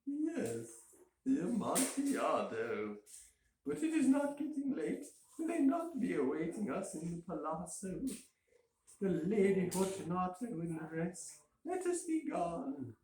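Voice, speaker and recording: A man's voice: high-pitched, wildly swooping pitch, unhurried, darkish tone, far from the mic, voice pushed up, full character voice. A man voicing a drunken, doomed nobleman with forced mirth and desperation, in a gothic story reading. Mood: nervous hilarity. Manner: giggling, breaking words with laughter. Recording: roomy echo, faint hiss.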